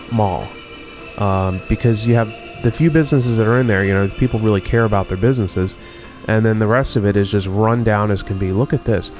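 A man casually narrating a walkthrough of a rundown shopping mall, musing and unhurried with many pauses and fillers. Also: lowish voice, a little mellow. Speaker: video host touring a closed-down mall.

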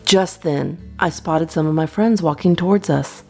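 A woman's voice: low voice, normal speed, warm tone. A woman reading a children's storybook aloud expressively, as a storytime reader. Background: guitar music.

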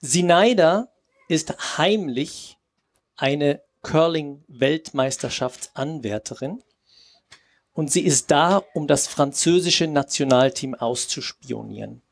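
A man talking on a stage in improvised style, presenting hesitantly and deliberately. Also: fairly high pitch, lively intonation, vocal fry. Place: tented festival stage.

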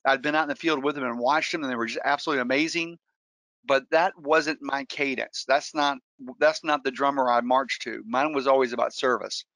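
Earnest, bright-voiced man presenting. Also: medium pitch, steady tone, brisk, no room sound. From Colombia. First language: Spanish. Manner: earnest storytelling with frequent restarts. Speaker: real estate investor and webinar guest speaker. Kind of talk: webinar presentation.

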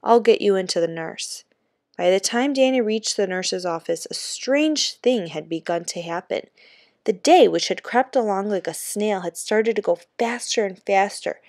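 A woman's voice: mid-range voice, lively intonation, moderate pace, a little mellow, no echo. Woman reading a storybook aloud, dry sound. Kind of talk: expressive children's book read-aloud.

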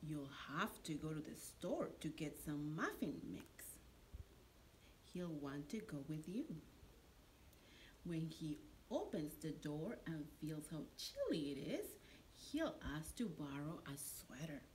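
A middle-aged woman reading a picture book aloud to young children in an expressive, deliberate storytelling manner, like a preschool teacher. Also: deep voice, low-quality recording. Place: indoor classroom. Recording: noticeable steady room background noise.

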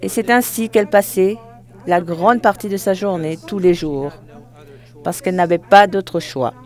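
Live interpreter doing a formal speech, mid pitched voice, goes phrase by phrase n corrects themself. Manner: halting, phrase by phrase with self-corrections.

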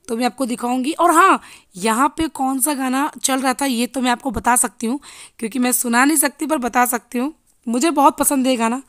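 A woman in her thirties with a hoarse voice, chatting casually to viewers in a home vlog, filmed on a handheld phone. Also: high voice, dry recording, clean studio sound. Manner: chatty, addressing viewers directly. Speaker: vlogger. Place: home kitchen and living room. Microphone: handheld phone selfie.

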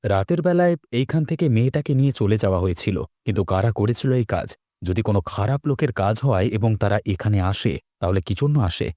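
Man voicing an anxious, mellow village man. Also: fairly low pitch, animated, fairly quick, no room sound, clean studio sound, lightly acted. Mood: brooding, suspicious. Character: anxious, suspicious village man searching for a girl. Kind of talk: dubbed cartoon dialogue.

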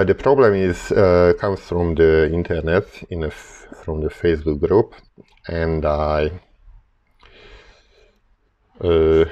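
Slow, low-voiced Hungarian man explaining. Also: a little mellow. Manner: halting, many "uh" fillers, careful non-native English. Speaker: calculator tutorial maker. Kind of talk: hands-on calculator tutorial. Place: desk indoors, filming paper and calculators.

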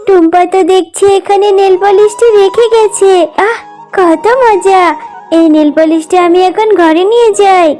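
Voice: very high voice, moderate pace, warm tone, soft background music. A performer voicing a sly, greedy, mischievous little girl in a children's cartoon. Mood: sly glee.

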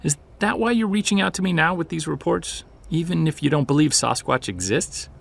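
Actor as a gravelly, earnest seasoned investigator. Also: male voice, on the high side, lively intonation, fairly quick. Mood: encouraging. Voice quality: gravelly, husky. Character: seasoned, earnest, driven male Sasquatch investigator. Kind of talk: naturalistic audio drama dialogue.

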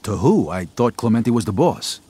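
An actor playing a young streetwise American mobster, cool and world-weary, in a video game. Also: male voice, fairly low pitch, big pitch swings, moderate pace, warm tone, breathy edge. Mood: guarded. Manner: casual, understated tough-guy talk. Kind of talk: game cutscene acting and retrospective narration.

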